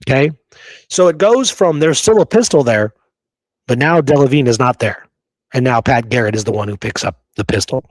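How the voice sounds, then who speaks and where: A man's voice: mid-range voice, normal speed, no room sound, poor audio. A man hosting a conversational history discussion, speaking in an emphatic, opinionated way and repeating points to drive them home. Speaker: podcast host explaining frontier history.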